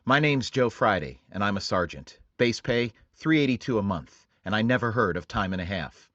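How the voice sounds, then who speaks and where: An actor playing a terse police sergeant narrating a radio crime drama in a flat, clipped monotone. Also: male voice, lowish voice, normal speed, no echo, highs cut off. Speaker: detective sergeant. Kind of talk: 1940s radio drama acting.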